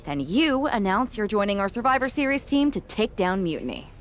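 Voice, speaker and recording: A woman playing a persuasive wrestling team recruiter, speaking in a measured, pointed way in a video game cutscene. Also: mid-range voice, very animated, slight background noise, lightly acted.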